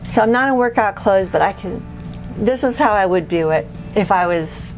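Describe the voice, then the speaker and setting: A woman's voice: medium pitch, lively intonation, normal speed, poor audio. A middle-aged woman from Cuba, a native Spanish speaker, giving a casual guided tour of her home as its owner. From born in Cuba. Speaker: homeowner giving a house tour. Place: small wood-panelled house interior. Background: background music.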